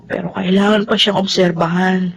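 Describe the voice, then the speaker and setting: A woman's voice: on the low side, fairly even intonation, normal speed, creaky, slightly rough. A woman with a Filipino accent acting an anxious, loving young mother in a television melodrama, emotional and pleading. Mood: tender, worried.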